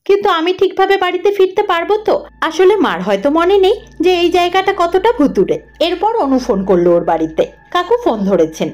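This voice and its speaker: A woman narrating a scripted horror cartoon story in the first person, dramatic and expressive. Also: extremely high pitch, brisk, highs cut off.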